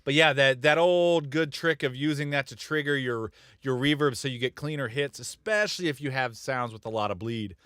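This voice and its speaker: A man's voice: medium pitch, moderate pace, a bit bright, clean tone, no echo, studio quality. Blunt, rambling male music producer. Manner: rambling, blunt, self-mocking with frequent chuckles. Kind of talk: casual studio chat on a live stream.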